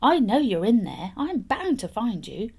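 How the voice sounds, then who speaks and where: A woman's voice: a little high, big pitch swings, unhurried, a little mellow, breathy edge, full character voice. Woman acting simple, emphatic peasant farmer. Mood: determined. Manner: blurting, emphatic. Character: simple, lucky peasant farmer posing as a doctor.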